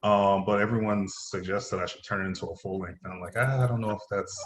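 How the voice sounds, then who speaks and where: A man's voice: lowish voice, fairly even intonation, quick pace. A man chatting casually as a playwright about his own writing. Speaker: playwright, acting teacher. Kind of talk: casual chat. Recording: roomy, faintly noisy remote call.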